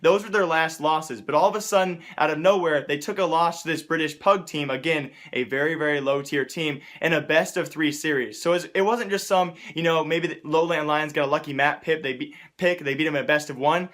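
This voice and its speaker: A man's voice: a little high, steady tone, a little fast, hardly any pauses, a bit bright. A man hosting casual esports news commentary, speaking informally and often repeating points for emphasis. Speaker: host of an esports news show.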